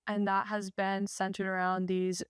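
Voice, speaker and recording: A woman's voice: medium pitch, completely flat delivery, normal speed, clear, resonant tone, no room sound, clean studio sound. A young woman interviewing an artist in a gallery, in a friendly, upbeat conversational manner. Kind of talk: casual interview. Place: art gallery.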